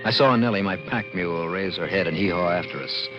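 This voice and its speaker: A man's voice: sits low, a little fast, lightly acted. An actor in an old radio drama playing a wary, plain-spoken, folksy man who narrates his encounter and questions a visitor. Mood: tense storytelling. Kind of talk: 1940s-50s radio drama acting and narration. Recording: muffled vintage radio recording, music behind.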